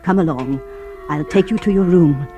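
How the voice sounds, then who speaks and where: An actress playing a middle-aged guardian's wife, soothing yet ominous, with measured old radio-drama delivery. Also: female voice, low voice, loud music behind the voice, close to an everyday voice. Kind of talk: vintage radio drama acting. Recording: noisy, crackly old radio recording.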